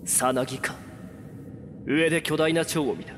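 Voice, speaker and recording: A man playing a calm, resolute young adventurer in a fantasy audio drama, speaking tersely and with composure. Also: normal speed, slight background noise, close to an everyday voice. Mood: terse, composed. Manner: terse, composed, low-key.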